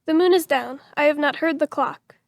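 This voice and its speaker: A woman's voice: sits high, moderate pace. A woman playing a dutiful young boy, a soldier's son, in an amateur Shakespearean dramatic reading. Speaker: amateur performer in a library reading.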